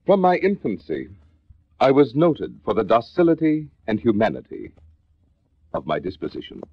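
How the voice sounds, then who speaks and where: Actor as a husky, theatrical Gothic confessor on vintage vinyl. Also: male voice, on the low side, wildly swooping pitch, dry recording, heavily acted. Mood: grave, reflective. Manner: theatrical, deliberate, formal diction. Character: brooding confessing male narrator of a Gothic horror tale. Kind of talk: 1950s dramatic literary audiobook reading. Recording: dull vintage 1950s spoken-word record.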